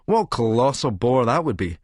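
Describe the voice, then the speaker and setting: An actor with a Scottish accent narrating an audiobook as a cynical, vain Edinburgh publican, smug, sardonic and wordy. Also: male voice, mid-range voice, very animated, fairly quick, a little mellow, no echo, studio quality. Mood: smug, calculating. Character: cynical, vain male Edinburgh publican and schemer, smugly self-satisfied. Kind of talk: first-person audiobook narration in character.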